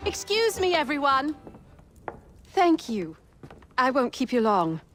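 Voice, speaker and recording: A gracious townswoman addressing her neighbours in a colonial game drama, sincere, polite and composed, on the higher side. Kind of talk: video game dramatic acting.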